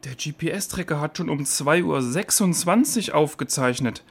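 A man's voice: on the high side, animated, measured pace, gravelly, breathy edge, exaggerated voice. A man acting an attentive young male investigator in a children's audio drama, explaining facts. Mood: attentive, puzzled.

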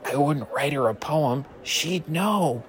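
A man's voice: a little high, animated, slow pace, slight background noise. A man playing an eager, bashful but brash ninth-grade boy in an audio drama. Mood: eager, sheepish.

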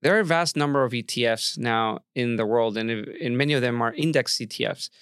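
A man's voice: mid-range voice, a little fast, fairly bright tone, clean tone, dry recording, clean studio sound. A man hosting an interview podcast, asking questions in a friendly, curious way. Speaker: podcast host.

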